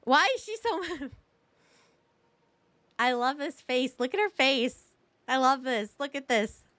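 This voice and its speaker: A woman's voice: high voice, lively intonation, slow, a bit bright, no echo. A woman streamer giving casual live game commentary and chatting to viewers in a rambling, slangy way. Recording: game music behind.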